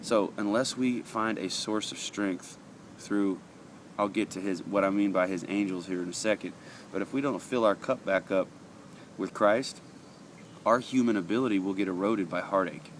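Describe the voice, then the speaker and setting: A man with a very low voice talks through a daily devotional in an earnest, conversational way, stopping often on fillers. He sounds a little sniffly from allergies. Faint traffic hums behind him. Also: moderate pace. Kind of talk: casual devotional talk, reading passages aloud.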